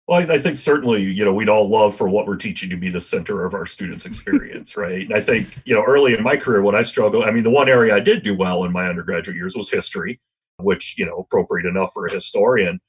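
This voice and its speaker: Low, husky man, history professor guest, loud, reflective, asides of "you know". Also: quick pace. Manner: loud voice, thoughtful with frequent "you know" and "right?". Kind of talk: reflective conversational interview. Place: podcast interview, remote or studio.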